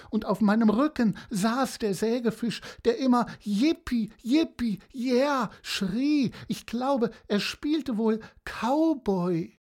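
A woman voicing a rambling, woeful female seahorse who tells tall tales slowly, with many hesitations. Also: fairly high pitch, darkish tone, pitched above the performer's own voice. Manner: slow, hesitant, stammering with fillers. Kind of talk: storybook character voice.

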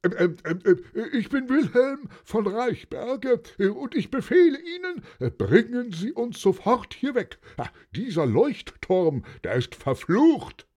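A panicky male swindler in a storybook tale, acted out by a man, blustering like a fake nobleman. He stammers out hectic commands in a high, wildly swinging voice. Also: sing-song, a little slow, few pauses, a little mellow, heavily acted.

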